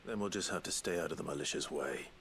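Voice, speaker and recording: An actor playing a steady, resolute male hero in a video game, speaking in short, terse lines. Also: a bass voice, fast, a little hiss or hum. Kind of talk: game dialogue.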